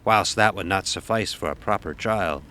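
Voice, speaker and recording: A man playing a stern, gruff ship's officer who tells a sea tale in commanding, archaic nautical speech for an audio horror drama. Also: deep voice, moderate pace, sharp tone. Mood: measured recounting. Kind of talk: first-person dramatic storytelling, audio horror.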